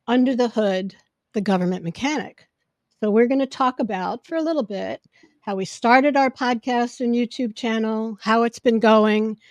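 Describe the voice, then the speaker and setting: A woman hosting a podcast in casual chat, with a loud voice that projects when she gets excited. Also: fairly high pitch, dry recording. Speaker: podcast host. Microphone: podcast microphone.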